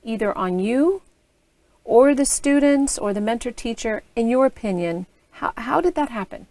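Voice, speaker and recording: A woman's voice: on the high side, animated, moderate pace, a little mellow, no room sound. An American woman interviewing student teachers over a video call, asking open questions in an encouraging way. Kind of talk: reflective interview.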